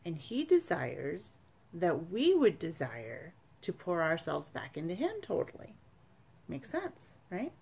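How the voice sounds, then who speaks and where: A woman's voice: on the low side, huge pitch swings, slow, vocal fry, darkish tone, husky. A woman in her forties giving informal religious teaching to camera, explaining emphatically and often checking for agreement. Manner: explanatory, emphatic, seeking agreement with tag questions. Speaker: church retreat speaker, sacramental prep coordinator. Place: small home study, indoors.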